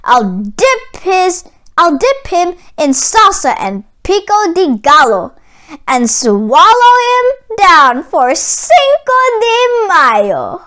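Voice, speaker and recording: A woman voicing a grumpy, hungry male giant in a children's storybook, with a booming, growling delivery. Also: wildly swooping pitch, takes it slowly, a bit bright, full character voice. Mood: menacing chant. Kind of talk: children's storybook read-aloud.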